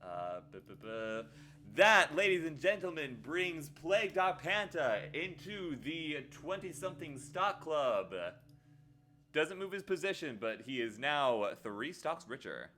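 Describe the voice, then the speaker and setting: A man hosting a live stream, chatting playfully with sudden excited jumps in his voice. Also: a little high, measured pace. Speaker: livestream host. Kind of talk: casual live stream chat.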